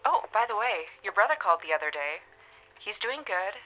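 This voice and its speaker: A woman playing an affectionate, gently teasing wife in an audio drama, with a light, encouraging manner. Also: mid-range voice, very animated, fairly quick, phone-quality sound, a little hiss or hum, natural voice. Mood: affectionate, upbeat. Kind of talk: modern audio drama acting.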